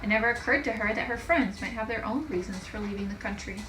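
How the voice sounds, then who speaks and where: A woman's voice: mid-range voice, fast talker, far from the mic, over music. A woman teacher reading a children's story aloud expressively, recorded in an echoey, noisy room. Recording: echoey room with clear background noise.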